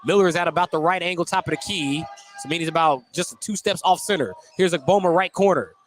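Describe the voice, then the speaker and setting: A man with a notably high voice calls a basketball game live. He works as the play-by-play announcer, following the action in short radio phrases with a light, joking touch. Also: fast, faint music behind. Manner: jokey, often chuckling.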